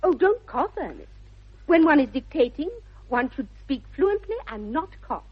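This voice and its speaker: A woman's voice: high-pitched, very animated, moderate pace. An actress with a British accent playing a dreamy, headstrong young Victorian lady in a 1960s radio comedy, with precise, affected, earnest delivery. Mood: prim, eager. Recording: dull vintage 1960s radio recording.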